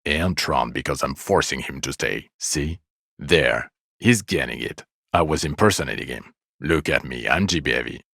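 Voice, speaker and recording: Game role: exasperated, sarcastic cop, male, gravel-throated with heavy fry, contemptuous weary scolding, close dry studio sound. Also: extremely low pitch, moderate pace, a bit bright, dry recording, full character voice. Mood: bitter, sardonic. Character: bitter, exasperated, foul-mouthed male police detective, scathingly sarcastic. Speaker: video game voice actor. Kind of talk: video game character dialogue.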